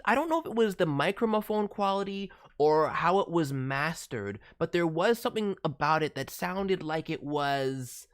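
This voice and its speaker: Casting: woman, low-pitched voice, emphatic voice director talking through casual feedback and advice. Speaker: voice director reviewing demo reels. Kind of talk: casual spoken critique with advice.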